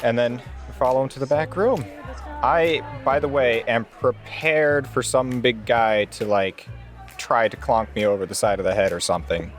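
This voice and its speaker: A man's voice: mid-range voice, normal speed, soft background music. A man playing a tabletop roleplaying game, casually describing what his character does.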